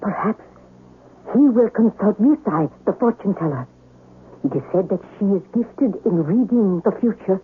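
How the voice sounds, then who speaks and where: An actress playing a dutiful, devout servant wife with a husky voice and deferential, formal speech in a 1970s radio drama. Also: female voice, mid-range voice, animated, moderate pace, narrow, tinny audio, slight background noise, lightly acted. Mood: anxious. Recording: thin, band-limited old radio broadcast.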